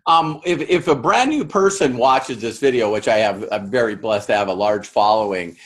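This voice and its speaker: Excited, rambling male host. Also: medium pitch, normal speed. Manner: excited, rambling, many fillers. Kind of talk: interview.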